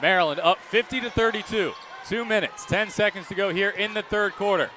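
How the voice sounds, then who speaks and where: A young man calling live basketball play-by-play for radio in a projected, excited manner, recorded in an arena. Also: sits high, brisk, a crowd in the background. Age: young adult. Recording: gym noise behind radio commentary. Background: court noise, bouncing balls.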